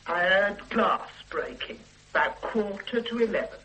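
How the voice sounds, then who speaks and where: Blunt shopkeeper. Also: female voice, mid-range voice, lively intonation, measured pace, pushed and bright, low-quality recording. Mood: stubborn. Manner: blunt, stammering restarts. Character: blunt middle-aged woman shopkeeper, defiant. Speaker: witness. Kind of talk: radio drama acting.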